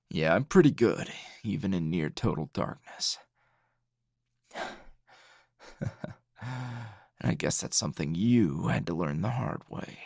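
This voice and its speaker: A man playing a tired, gruff, dryly teasing hero schoolteacher in an ASMR roleplay, speaking low and gravelly in a halting, understated way. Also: expressive, a little mellow, dry recording, clean studio sound, exaggerated voice. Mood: teasing, smug. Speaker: voice actor.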